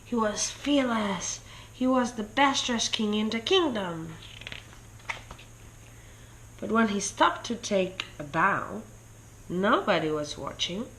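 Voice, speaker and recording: Slow-paced woman reading a children's storybook. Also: medium pitch, a little hiss or hum, poor audio. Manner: deliberate, expressive storytelling. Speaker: story reader. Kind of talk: children's storybook read-aloud.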